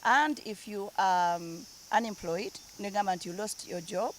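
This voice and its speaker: A woman's voice: medium pitch, moderate pace, slight background noise. A woman from Uganda who grew up in Denmark, chatting easily as an interview guest and switching between languages. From Uganda, raised in Denmark. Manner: mixes English and Luganda, easygoing, laughs often. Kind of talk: casual interview chat.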